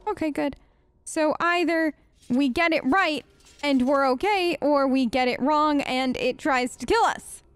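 A woman hosts a casual let's play in a fairly high voice, recorded close and dry. She takes her time, hesitant and wry as she plays along in character. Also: a little slow, no room sound. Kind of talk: role-play gaming commentary, casual.

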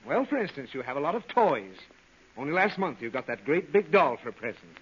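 A man's voice: fairly high pitch, big pitch swings, fairly quick, a bit bright, husky, heavily acted. An actor playing an exasperated, headachy father, groaning and pleading in 1930s radio comedy style. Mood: earnest lecturing. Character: exasperated, suffering, headachy father of little girl. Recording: muffled, hissy old 1930s radio broadcast.

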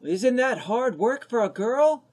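A man acting an eager, lonely teenage boy in an amateur radio drama, boyish and husky. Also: very high voice, sing-song, slow, darkish tone, full character voice.

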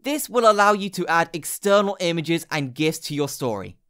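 A woman narrates a scripted how-to guide with a lively, moving pitch. She speaks in short instructional steps, laying out each direction plainly. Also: normal speed, clear, resonant tone. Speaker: tutorial narrator. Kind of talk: scripted step-by-step app tutorial narration.

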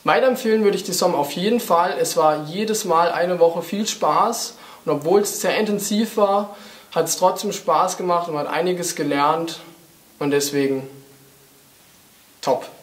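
A young man in his twenties gives an upbeat, casual testimonial to camera. His voice sits high and carries a roomy echo. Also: a little slow. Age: 20s. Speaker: drum department apprentice. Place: drum shop, indoors.

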